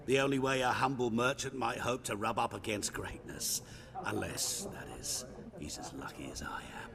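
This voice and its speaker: An actor playing a sly wandering merchant in a fantasy game, florid and courteous, ingratiating and teasing. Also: male voice, medium pitch, moderate pace, crowd noise, lightly acted. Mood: theatrical, ingratiating. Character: sly, humble-seeming wandering male merchant, theatrical and courteous. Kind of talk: fantasy video game acting. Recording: game dialogue with clear background noise.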